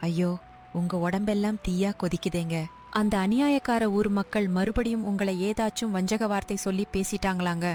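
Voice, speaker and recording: A woman's voice: medium pitch, normal speed, darkish tone, slight background noise, lightly acted. A woman acting an anxious, devoted poor village wife in a story drama, worried and imploring. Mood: alarmed.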